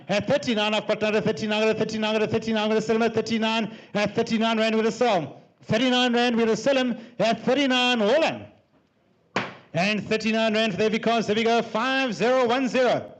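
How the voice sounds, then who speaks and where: A man auctioneering livestock, rattling off bids in a fast, rhythmic chant. His voice sits high and stays flat, looping the same figures over and over at a racing clip. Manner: repetitive rhythmic bid calling.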